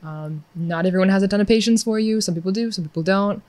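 A woman's voice: mid-range voice, fast, a little mellow, no room sound. A young adult woman in casual conversation, chatty and upbeat, peppering her talk with "like" and "yeah".

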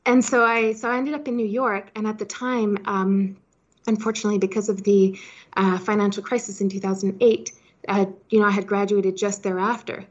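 A woman's voice: mid-range voice, flat delivery, fairly quick. Woman in her thirties, chatty, muffled recording. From Switzerland-born, raised in Hong Kong. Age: 30s. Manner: chatty, peppered with "you know". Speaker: podcast guest, startup founder. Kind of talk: conversational interview answers.

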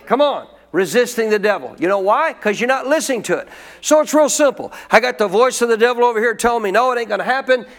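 A man's voice: extremely high pitch, a bit bright. A man preaching a sermon as pastor, teaching in a colloquial call-and-response manner.

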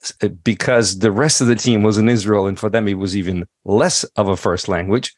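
Middle-aged guy, english not his first language, really low voice, lots of uh's and restarts, dry close mic. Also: steady tone, brisk, no room sound. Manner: thoughtful, many fillers and restarts. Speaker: podcast guest. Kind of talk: casual interview talk.

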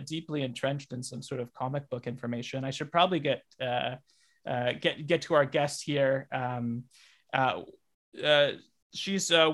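A man with a somewhat high-pitched voice hosts a loose comedy chat. He rambles and hesitates as he works his way toward each question. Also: gravelly, a bit bright. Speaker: podcast host and interviewer. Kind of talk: casual improvised comedy chat.

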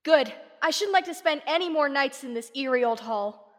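A young woman playing an eager, plucky but anxious sleuth's companion in a classic radio drama, earnest and excitable, in a reverberant recording. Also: high-pitched, moderate pace. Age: young adult. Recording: long hall reverb, faint music.

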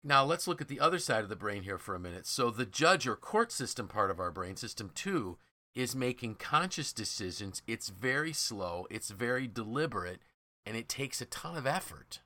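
A man's voice: medium pitch, big pitch swings, moderate pace, pushed and bright, dry recording. A man hosting a podcast, explaining ideas from a book in a conversational, emphatic way. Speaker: podcast host.

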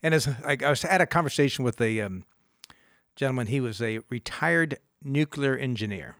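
A man's voice: medium pitch, expressive, normal speed, fairly bright tone, no echo, studio quality. Rambling middle-aged man hosting radio. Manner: rambling, restarts often, laughs easily. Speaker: radio show host, financial advisor. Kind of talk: casual radio talk.

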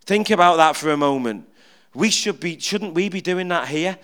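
A middle-aged preacher with a high, notably clear and smooth voice. He talks to his church in a loose, jokey way, stumbling over repeated words and drawing the congregation in with questions. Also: lively intonation, normal speed, fairly bright tone. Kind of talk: informal humorous sermon. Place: church, speaking at the front.

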